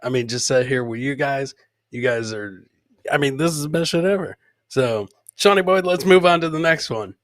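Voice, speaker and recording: A man's voice: medium pitch, moderate pace, no room sound. Casual comedy podcast host, man, close dry sound. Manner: informal, profane banter with viewers. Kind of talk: casual comedic livestream chat.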